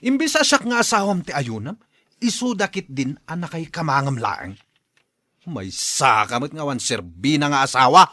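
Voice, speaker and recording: A man's voice: a little high, very animated, normal speed, sharp tone, dry recording, clean studio sound, heavily acted. A man playing a hard-headed, resentful husband and son in an Ilocano radio drama, with a combative, sneering manner. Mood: bitter.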